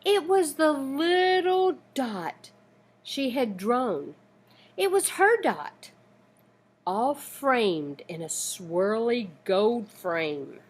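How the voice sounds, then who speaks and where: A woman reading a children's picture book aloud in an expressive, deliberate, dramatic storytelling manner. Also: a little high.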